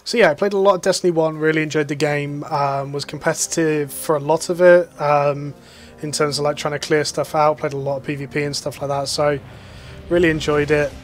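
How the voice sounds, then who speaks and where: A man's voice: fairly high pitch, fairly even intonation, fairly quick, soft background music. A man giving casual, rambling gameplay commentary as a channel host. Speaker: gaming youtuber.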